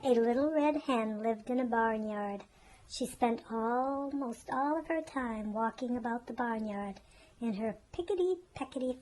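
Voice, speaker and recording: A woman's voice: a little high, darkish tone, slight background noise, rough recording. A woman narrating a children's storybook as a storyteller.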